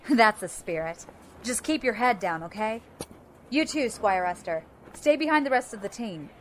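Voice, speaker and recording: An actress playing a confident squad captain in a science fiction audio drama, brisk and commanding. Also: female voice, a little high, lively intonation, slight background noise. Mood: encouraging.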